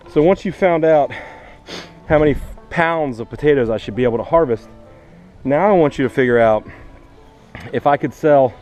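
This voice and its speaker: A man's voice: medium pitch, darkish tone. Casual man hosting a gardening vlog. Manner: addresses viewers directly, explains step by step. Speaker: vlog host, teacher and gardener. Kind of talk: casual instructional vlog talk.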